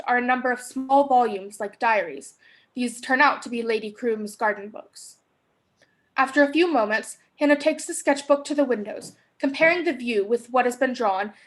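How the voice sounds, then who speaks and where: A young woman reading stage directions as scripted narration for a play. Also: sits high. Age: young adult.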